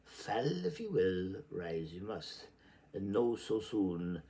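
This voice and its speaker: A man giving a theatrical, halting reading of literary prose, repeating words and shifting between murmurs and sudden emphasis. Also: low-pitched, big pitch swings, dark tone. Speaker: reader. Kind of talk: dramatic amateur literary reading aloud.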